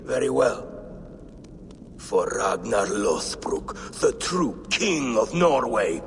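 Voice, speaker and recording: A man's voice: medium pitch, very animated, gravelly, background noise, full character voice. An actor playing a proud, grieving Viking warrior, solemn and loyal to a fallen king, in a video game. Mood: resolute. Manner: solemn, storytelling, weighty pauses. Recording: long cavern-like reverb, faint music behind.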